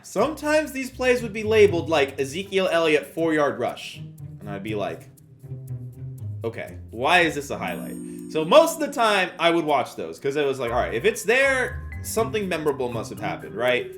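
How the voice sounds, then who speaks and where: A man's voice: a little high, a bit bright. An American man in his twenties telling a story in a casual vlog, with slangy, self-deprecating phrasing. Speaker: YouTuber and storyteller. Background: background music.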